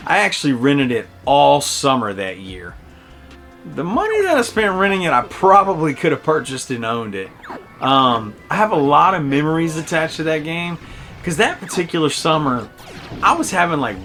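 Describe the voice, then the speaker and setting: A man's voice: medium pitch, fairly bright tone. A middle-aged man hosting a casual, personal game review, talking in a nostalgic, enthusiastic storytelling way. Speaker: video game reviewer and host. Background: music under the voice.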